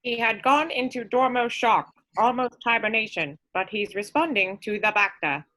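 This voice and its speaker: A woman playing a calm, brisk medic and officer in an amateur science fiction table read. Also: a little high, close to an everyday voice, low-quality recording. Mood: businesslike.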